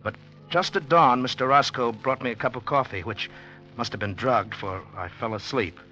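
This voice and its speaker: An actor in 1940s radio drama playing an anxious, earnest gentleman, a bewildered newcomer who speaks haltingly and intensely. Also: mid-range voice, faint music behind, lightly acted. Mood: tense, brooding. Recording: dull old 1940s radio recording.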